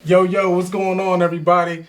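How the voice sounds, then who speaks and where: A man's voice: sits high, little pitch movement, a little fast. A man hosting a casual podcast, laid-back and full of fillers, laughing often. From Pittsburgh area. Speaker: podcast host.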